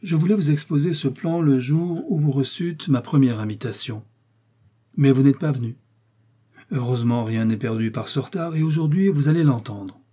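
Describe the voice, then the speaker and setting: A man reading an audiobook, voicing a powerful, scheming older cardinal who speaks in a smooth, persuasive, lofty way. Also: mid-range voice, normal speed, mellow, voice pushed up, close to an everyday voice.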